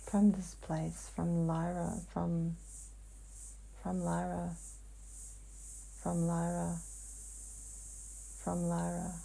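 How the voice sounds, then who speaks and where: A woman channeling in a hypnotic session, answering in halting, fragmented phrases with long pauses. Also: on the low side, completely flat delivery, creaky, mellow, low-quality recording. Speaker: client in a hypnotic healing session. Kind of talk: slow trance channeling, answering questions.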